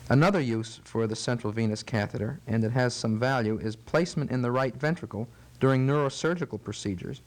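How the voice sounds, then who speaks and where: A man speaks as a medical expert being interviewed. His delivery is formal and methodical, with carefully built, precise explanations in a measured teaching style. His voice sits at an ordinary male pitch.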